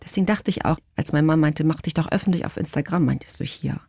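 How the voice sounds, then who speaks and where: A woman's voice: low voice, quick pace, vocal fry, breathy edge, no echo. A woman from Portugal chatting casually as an interview guest, acting out quotes as she tells stories into a close studio microphone. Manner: animated storytelling with acted-out quotes. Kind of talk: casual anecdotal chat. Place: radio studio.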